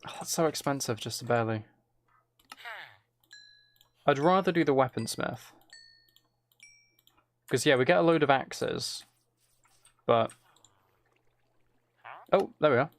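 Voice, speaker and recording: Low-key man with creaky voice, gaming. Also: a little slow, pauses often. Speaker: streamer playing a game while chatting with viewers. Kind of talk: casual game commentary and chat.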